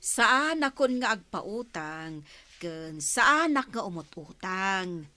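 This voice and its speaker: A woman's voice: medium pitch, big pitch swings, takes it slowly, pushed and bright, full character voice. A woman playing a chatty, teasing village housewife in a conversational radio drama.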